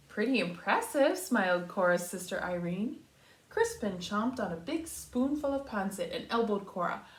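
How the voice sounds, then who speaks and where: Lady reading a kids story like a kindergarten teacher, voice goes up and down a lot, bit echoey. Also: mid-range voice. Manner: animated, pausing to talk to young listeners. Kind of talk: expressive children's storybook read-aloud. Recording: roomy echo.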